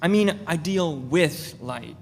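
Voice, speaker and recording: A woman voicing an eager, oblivious male artist making a stammering, self-promoting pitch in a literary reading. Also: expressive, takes it slowly, exaggerated voice. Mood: earnest, pretentious. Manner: pushy, earnest, stammering sales pitch. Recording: echoey hall, noticeable noise.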